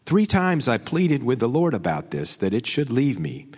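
A man reading scripture aloud in a sermon, in a slow, measured, solemn way, letting his phrases fall away at the ends. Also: mid-range voice, wildly swooping pitch, breathy edge. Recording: long reverb, voice from a distance.

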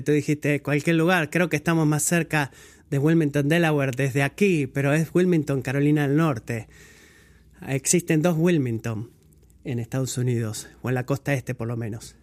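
A man reading scripture aloud and then preaching a sermon in a measured, pausing way, stopping now and then to correct his own slips. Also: on the high side, a little mellow.

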